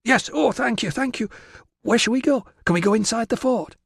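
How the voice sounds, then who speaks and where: A man voicing a silly, excitable teenage girl in an audiobook, gushing, whiny and insistent. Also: sits high, a little fast, no echo, studio quality, voice pushed up. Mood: excited. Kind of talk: audiobook character voice.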